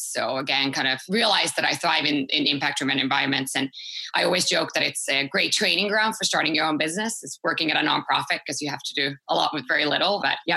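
A woman's voice: sits low, creaky, pushed and bright, breathy edge, poor audio. A woman from Finland in her thirties, answering interview questions about her company in a loose, conversational way with fillers. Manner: fast, loosely phrased with fillers. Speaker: co-founder and guest.

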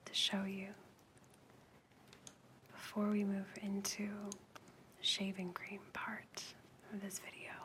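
A woman whispering gently and intimately to the listener as an ASMR creator, in a soothing manner. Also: medium pitch, slight background noise. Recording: loud crinkling and brushing noises close by. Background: crinkling, clicking and brushing props.

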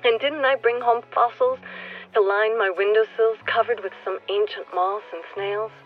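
A woman's voice: on the high side, fairly even intonation, normal speed, narrow, tinny audio, natural voice. An actress playing an earnest, nature-loving newcomer in a radio play, telling her thoughts intimately and wistfully to someone absent. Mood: wistful wonder. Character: earnest nature-loving newcomer woman speaking to a departed listener. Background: music.

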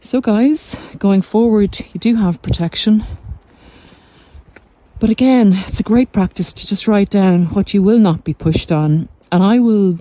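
A middle-aged woman giving reflective, informal podcast advice in a measured, deliberate way with pauses. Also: mid-range voice, mellow, no echo, low-quality recording. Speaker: podcast host and narcissistic abuse recovery coach. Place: walk outdoors along the coast.

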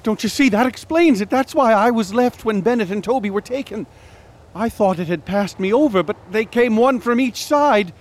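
An actor playing Callum, an excitable occultist who insists on calling out to a murdered man's spirit in a radio drama. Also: sits high, moderate pace, lightly acted. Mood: tense. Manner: urgent, theatrical, calls out to a spirit. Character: excitable occultist man named Callum, intense. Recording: music bed under dialogue.

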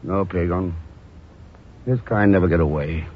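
An actor playing a calm, dryly sardonic espionage hero with a husky voice in a 1950s radio drama. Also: male voice, deep voice, a little slow. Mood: dry, impatient. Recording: muffled old radio recording.

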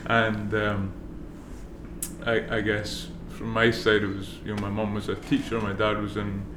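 A man's voice: lowish voice, monotone, measured pace. A middle-aged Scottish man, a brewery co-founder guest on a casual podcast, speaking in a laid-back way with dry humor and many fillers. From Scotland. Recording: roomy with noise behind.

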